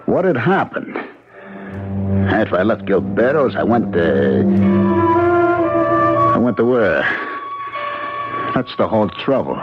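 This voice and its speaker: Streetwise New gangster, slangy, wide-ranging intonation, 1970s radio drama, dull broadcast sound. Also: male voice, on the low side, very animated, takes it slowly, pauses often, loud music behind the voice. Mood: puzzled, urgent. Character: bewildered, scheming tough mobster trapped in a policeman's body. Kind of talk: 1970s radio drama acting with first-person narration.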